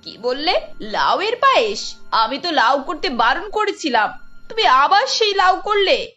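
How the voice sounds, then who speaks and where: A woman's voice: high voice, very animated, measured pace, sharp tone, heavily acted. A woman voicing a scheming older mother-in-law in a cartoon, wheedling and complaining dramatically. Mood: indignant. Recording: dull audio over background music.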